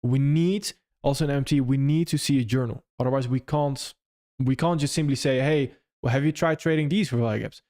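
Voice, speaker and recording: Young non-native English-speaking man, live-stream host answering chat, loose and rambling, mid-pitched voice. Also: a little fast, few pauses, mellow. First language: not English. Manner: loose and conversational, frequent restarts and "if that makes sense".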